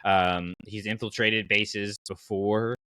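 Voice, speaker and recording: A man hosting a casual fan podcast, talking in an animated, colloquial way and explaining his reasoning at length. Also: lowish voice, slow, no echo. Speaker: podcast host.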